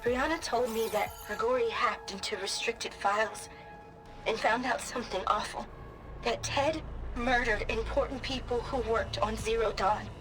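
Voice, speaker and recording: A woman's voice: fairly high pitch, normal speed, natural voice, low-quality recording. An actress playing a grieving young woman in a video game, speaking a dramatic diary log. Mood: troubled disbelief. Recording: voice under clearly audible music.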